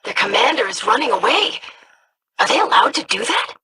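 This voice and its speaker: An actress voicing a poised, sharp-tongued female noble ally in a video game, with dry, formal wit. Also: fairly high pitch, very animated, a little fast, breathy edge, full character voice. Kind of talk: video game character dialogue.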